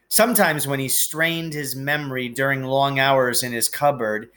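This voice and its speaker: A man reading a storybook aloud in an expressive, measured and deliberate narration. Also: medium pitch. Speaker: storybook reader. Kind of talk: expressive audiobook-style narration.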